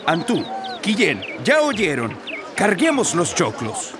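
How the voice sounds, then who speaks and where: An actor playing a proud farming father, exclamatory and drawn-out, in children's radio theatre. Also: medium pitch, wildly swooping pitch, noisy background. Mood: dutiful. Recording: busy music and crowd behind.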